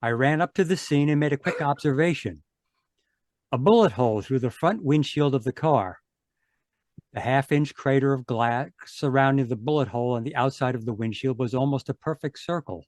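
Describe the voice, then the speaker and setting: An actor playing a confident detective, narrating a case in crisp, declarative old-time radio style. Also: male voice, medium pitch, normal speed, a little mellow, no room sound, close to an everyday voice. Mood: assured. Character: Ellery Queen, confident sleuth explaining his case.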